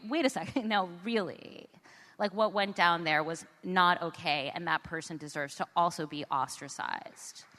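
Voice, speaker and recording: A woman's voice: medium pitch, sharp tone. An American woman giving informal, emphatic interview answers, punctuated with rhetorical questions. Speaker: interview guest, author. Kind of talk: conversational interview. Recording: echoey hall with long reverb.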